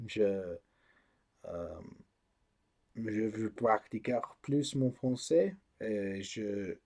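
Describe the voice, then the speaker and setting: Non-native male vlogger; very slow, halting delivery with constant fillers; low, mellow tone. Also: creaky. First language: not French. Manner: hesitant, many pauses and fillers; searching for words, learner of French. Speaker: channel host. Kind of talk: casual vlog talk to camera.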